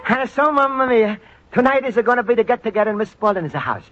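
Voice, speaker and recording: An actor playing a naive, sweet-natured Italian immigrant in a radio sitcom, speaking earnest broken English with an Italian accent. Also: male voice, extremely high pitch, lively intonation, fast, music in the background. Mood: earnest, happy. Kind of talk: old-time radio sitcom acting. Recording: dull vintage radio recording.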